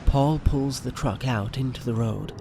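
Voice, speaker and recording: A man narrating a scripted audio drama in short, measured sentences. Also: mid-range voice, gravelly, noisy background. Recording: music behind narration.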